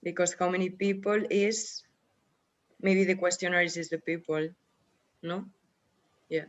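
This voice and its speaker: A woman's voice: fairly low pitch, fairly even intonation, a little slow, dull audio. A woman from Spain with a Spanish accent, talking hesitantly on a video call in a student group meeting. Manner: hesitant, thinking aloud, laughs often. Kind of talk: casual online meeting.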